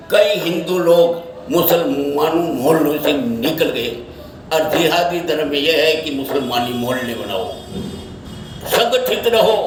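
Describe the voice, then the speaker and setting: A man giving an unscripted opinion monologue in halting, short phrases, often repeating himself. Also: on the high side. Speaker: social media speaker giving his opinion. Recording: echoey, distant mic, faint noise.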